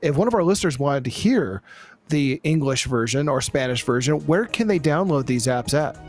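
A man's voice: on the high side, animated, moderate pace, soft background music. A man hosting a radio show, interviewing guests in a conversational way. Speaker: radio host.

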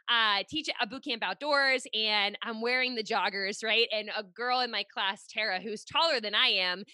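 A woman's voice: on the high side, sharp tone, no room sound. A woman hosting a podcast in a casual, effusive chat, full of "like" fillers. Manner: chatty, effusive, lots of "like" fillers. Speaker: podcast host.

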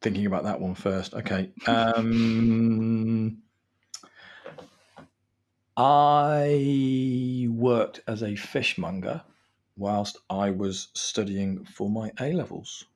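A man's voice: on the low side, steady tone, extremely slow, long pauses, darkish tone. A man from England in his forties, a guest on an interview podcast, chatty and self-deprecating as he gives advice and tells stories.

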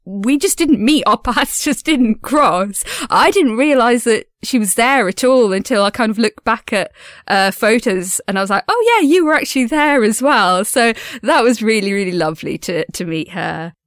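A woman's voice: fairly high pitch, animated, normal speed, fairly bright tone, dry recording, studio quality. A woman recording a casual personal audio diary as a podcast host, speaking in an earnest, enthusiastic and effusive way.

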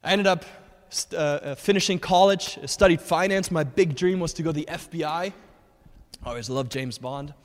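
A man's voice: a little high, moderate pace. A man in his thirties from Germany, a guest speaker giving an earnest personal testimony at a church, with frequent fillers and restarts. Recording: long hall reverb.

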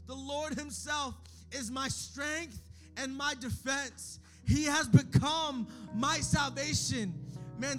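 A young man preaching a sermon, moving from hushed, close talk to loud, shouted calls to the crowd. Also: very high-pitched. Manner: shifts between hushed intimacy and shouted exhortation. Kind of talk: energetic sermon. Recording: faint music behind.

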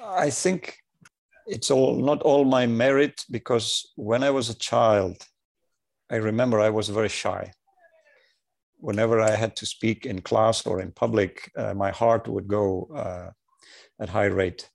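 A man in his sixties, an engineer, talking in a measured, thoughtful way with many pauses, on a remote audio call. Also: on the low side, creaky. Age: 60s. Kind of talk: reflective conversation.

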